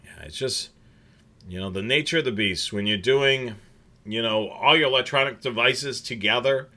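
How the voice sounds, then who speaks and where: A man's voice: mid-range voice, moderate pace, sharp tone. A man hosting a casual livestream chat, rambling with many fillers and restarts. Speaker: scanner show host.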